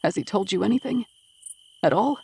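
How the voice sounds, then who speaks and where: A woman's voice: mid-range voice, animated, moderate pace, creaky, warm tone, slight background noise. A woman voicing a desperate mother demanding answers, in a tight, forced voice. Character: desperate mother searching for her daughter.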